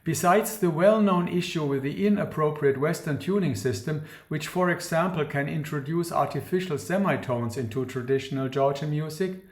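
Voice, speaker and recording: A man gives a scripted academic lecture in a formal, measured, precise manner. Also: on the high side. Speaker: academic researcher presenting a talk. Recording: roomy, echoing sound.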